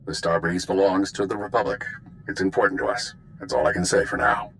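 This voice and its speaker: An actor playing a curt, secretive intelligence spymaster in a video game, briefing in a stern, matter-of-fact way. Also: male voice, low voice, fairly quick, fairly bright tone, slight background noise. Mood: guarded. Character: curt, secretive Republic intelligence spymaster man giving orders. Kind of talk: dramatic video game voice acting.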